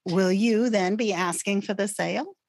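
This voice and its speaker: A woman guest on a podcast, explaining ideas in an animated way and often checking in with "right?" and "okay.". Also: mid-range voice, normal speed, creaky, no echo, clean studio sound. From North America. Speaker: guest expert on patterns.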